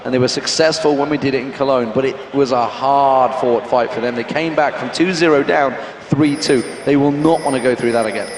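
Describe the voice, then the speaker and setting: Man casting esports, echoey arena. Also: mid-range voice. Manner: brisk, excitable hype. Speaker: esports caster. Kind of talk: esports play-by-play commentary. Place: packed convention hall. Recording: echoey arena with crowd noise.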